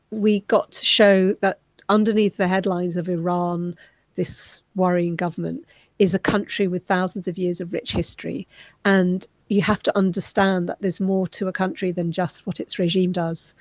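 A woman documentary filmmaker answering interview questions in a thoughtful, measured way, with frequent pauses and "um"s. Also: lowish voice, flat delivery, no echo.

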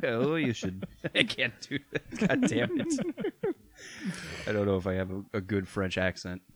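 A man with a mid-range voice who speaks slowly and lets his pitch swing widely for effect. His remarks are dry and wry. The recording is close and dead. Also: huge pitch swings, a bit bright, slightly rough, dry recording. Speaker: podcast panelist acting as judge.

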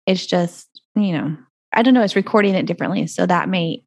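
Woman podcast co-host, lowish voice, rambling casual chat with frequent laughs, dry video-call sound. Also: brisk, no echo. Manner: rambling, laughs often, trails off. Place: home recording closet over a video call. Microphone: video call audio.

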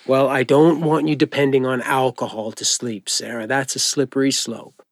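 A man playing a friendly, informal doctor who gently encourages and reassures a patient in an audio drama. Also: mid-range voice, steady tone, moderate pace, no room sound, studio quality, natural voice. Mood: concerned. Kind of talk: naturalistic audio drama acting.

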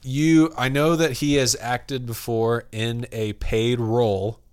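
A man's voice: mid-range voice, little pitch movement, slow pace, darkish tone, smooth, dry recording, studio quality. A young man in his twenties hosting a podcast, chatting casually, rambling and laughing often. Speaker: podcast host.

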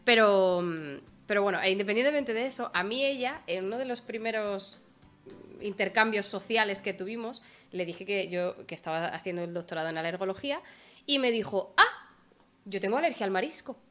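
A woman's voice: medium pitch, fairly quick. Chatty woman host. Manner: chatty, lively storyteller. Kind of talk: casual chat, storytelling. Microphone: video call.

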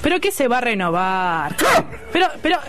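An actress playing an exasperated, sarcastic Argentine wife in a comic radio play, complaining with a soft spot underneath. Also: female voice, fairly high pitch, sing-song, normal speed. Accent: Argentine Rioplatense. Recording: loud music behind the voice.

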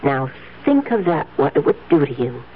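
An actress playing a doting but controlling young wife in a 1970s radio drama, coaxing and gently patronizing, on a tinny old recording. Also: female voice, deep voice, sing-song, moderate pace, breathy edge, full character voice. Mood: sweetly firm.

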